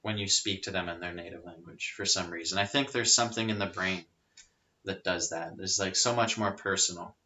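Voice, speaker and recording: Low-voiced man in bright casual chat. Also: brisk. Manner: relaxed, explanatory, with frequent fillers. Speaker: podcast guest. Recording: rough lo-fi audio.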